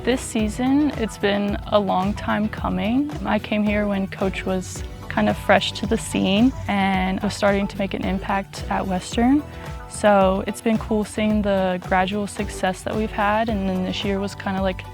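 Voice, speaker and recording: A woman's voice: medium pitch, fairly even intonation, unhurried. Casual young woman college golfer. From North Carolina. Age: young adult. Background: music.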